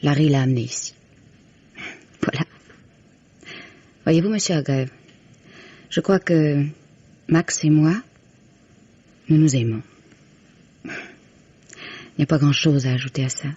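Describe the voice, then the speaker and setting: An actress playing a composed, candid young mistress in 1950s French radio drama, with measured, formal diction. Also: female voice, sits low, dark tone, husky, heavily acted. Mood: composed, confiding. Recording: dull, band-limited vintage radio recording.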